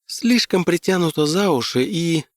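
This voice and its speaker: A man's voice: on the high side, expressive, normal speed, no room sound, clean studio sound, voice pushed up, lightly acted. A man voicing an overheated, irritable and bewildered woman on an expedition in an audiobook, exclaiming and complaining. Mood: irritable, uneasy.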